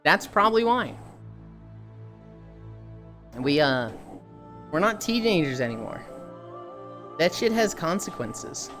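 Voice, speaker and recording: A woman's voice: lowish voice, very animated, slow pace, pauses often, music in the background. A woman streaming casually, rambling through stories, swearing and laughing easily. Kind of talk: casual chat while streaming.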